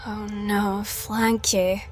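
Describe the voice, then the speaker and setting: A woman's voice: medium pitch, little pitch movement, drawn-out words, faint music behind. A woman playing a frightened, squeamish young hireling in an audio drama, nervous and comically blurting. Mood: nervous fear.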